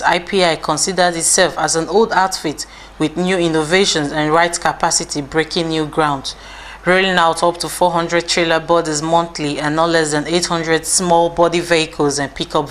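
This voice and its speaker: A woman narrating a scripted corporate feature in a smooth promotional read. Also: low voice, fairly even intonation, normal speed.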